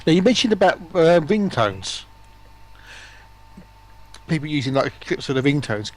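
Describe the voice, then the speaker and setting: A man's voice: fairly high pitch, very animated, moderate pace. A man hosting a live stream, chatting casually and putting questions to his guests. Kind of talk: casual chat, asking questions.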